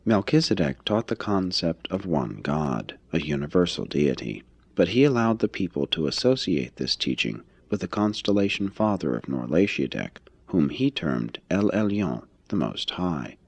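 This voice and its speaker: A man reading a religious text aloud as scripted narration, in a formal, measured, expository manner. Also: sits low, very animated, slightly rough, no room sound. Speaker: audiobook reader.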